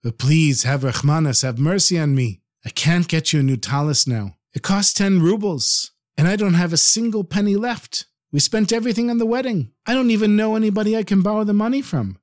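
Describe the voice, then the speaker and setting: A man voicing a pious, tenderhearted rabbi in a storytelling tale, with drawn-out, emotional pleading. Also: medium pitch, no room sound, clean studio sound, close to an everyday voice. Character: pious old rabbi, tenderhearted, sighing and grieving.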